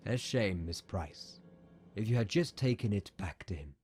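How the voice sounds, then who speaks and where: A man playing a smooth, menacing corrupt politician in an audio comic, speaking with deliberate condescension. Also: on the low side, a little mellow. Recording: music behind the voice.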